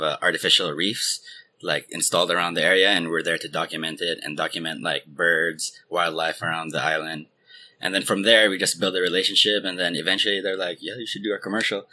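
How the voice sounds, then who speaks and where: A man in his thirties from Hong Kong, a guest telling rambling, relaxed stories full of fillers. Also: low-pitched. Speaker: interview guest, filmmaker. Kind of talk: casual interview chat.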